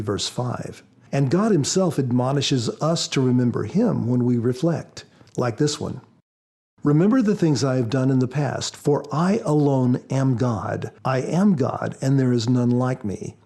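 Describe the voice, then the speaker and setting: A man speaking as an author on a podcast, explaining things in a measured, reflective way. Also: medium pitch, gravelly, mellow, husky. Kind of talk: measured explanatory talk with scripture readings.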